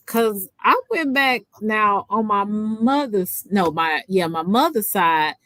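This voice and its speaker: A woman's voice: medium pitch, lively intonation, slow pace, sharp tone, no room sound, studio quality. A woman with a Southern accent hosting a casual livestream chat, talking in a rambling way with many fillers and restarts. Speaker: livestream host.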